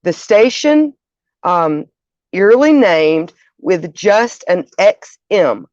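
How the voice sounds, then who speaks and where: A woman's voice: mid-range voice, a little mellow, no room sound, highs cut off. A woman hosting a show, presenting partly from notes and sometimes stumbling over words and correcting herself. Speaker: show host.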